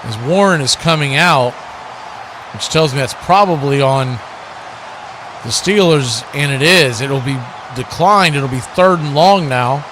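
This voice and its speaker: A male sportscaster with a notably high voice delivers live football commentary. He calls the action play by play, with faint noise in the background of the recording. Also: unhurried.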